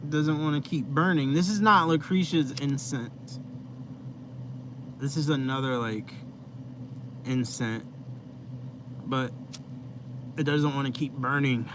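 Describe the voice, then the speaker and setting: A man's voice: medium pitch, slow, long pauses. A young man hosting a casual live stream from inside his van, chatting with viewers in a laid-back, rambling way with lots of fillers. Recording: noisy vehicle ambience.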